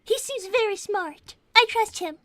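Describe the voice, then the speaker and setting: A very high, bright little rabbit girl, prim and naive. She speaks in formal, earnest phrases with dramatic indignation, like a cartoon character. The sound is dry and close. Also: animated, a little slow, no room sound, exaggerated voice. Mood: matter-of-fact. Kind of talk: improvised in-character livestream comedy roleplay.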